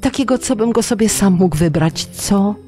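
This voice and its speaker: A man's voice: high-pitched, lively intonation, moderate pace, a little mellow, faint music behind, pitched above the performer's own voice. A storyteller voicing a wily, poor male trickster who pleads in a wheedling, mock-humble way. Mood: coaxing.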